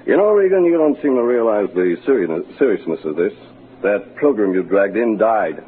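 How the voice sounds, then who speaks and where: An actor in 1940s radio style playing a middle-aged sheriff's detective, casual but pushy and needling. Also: male voice, on the low side, expressive, moderate pace, natural voice. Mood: insistent, needling. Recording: muffled, noisy 1940s radio recording.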